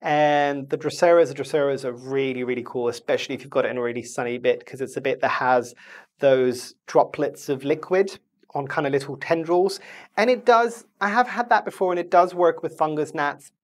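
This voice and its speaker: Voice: mid-range voice, fairly quick. Stuffy-nosed plant vlogger. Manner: rambling, self-correcting, friendly asides. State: stuffy, blocked nose. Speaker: houseplant video host. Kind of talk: casual chatty plant review.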